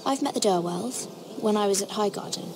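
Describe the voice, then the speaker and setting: A woman's voice: medium pitch, fairly quick, gravelly, background noise, natural voice. Composed young noblewoman, played by an actress. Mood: composed, probing. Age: young adult. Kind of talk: dramatic fantasy game acting.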